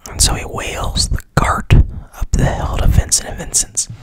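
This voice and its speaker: A man recapping a film in a soft whisper, rambling, swearing casually and pausing with fillers. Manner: rambling, profane, hesitant.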